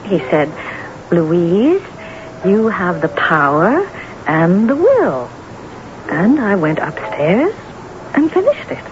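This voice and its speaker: A woman's voice: lowish voice, huge pitch swings, slow pace, pauses often, muffled recording, background noise, exaggerated voice. An actress playing a vain, domineering woman novelist in a radio drama, insistent, easily wounded and emphatic, repeating herself for effect. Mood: smug, elated. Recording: band-limited vintage radio broadcast with music.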